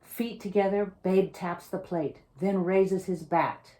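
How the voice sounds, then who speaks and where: A woman reading a children's picture book aloud as its author, with theatrical, emphatic delivery and drawn-out, suspenseful phrasing. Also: mid-range voice, fairly even intonation, dark tone, low-quality recording. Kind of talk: dramatic read-aloud storytelling for children.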